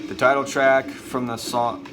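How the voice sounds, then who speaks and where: A man's voice: medium pitch, little pitch movement, unhurried, music in the background. A young man showing off his cassette collection in a casual, enthusiastic vlog, with plenty of fillers. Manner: enthusiastic, chatty, with many uh fillers. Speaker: cassette collector and video host. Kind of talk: casual show-and-tell vlog.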